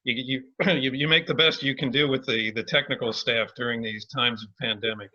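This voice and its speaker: Dry-humored man, bright voice, dull call audio. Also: medium pitch, steady tone, a little fast, slightly rough. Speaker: playwright. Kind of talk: casual talkback conversation. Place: video call.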